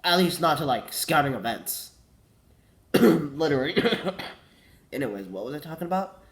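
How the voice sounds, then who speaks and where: A man's voice: on the high side, expressive, unhurried. A teenage boy with a cold, congested and coughing, rambling casually and correcting himself, saying "like" a lot. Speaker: vlogger.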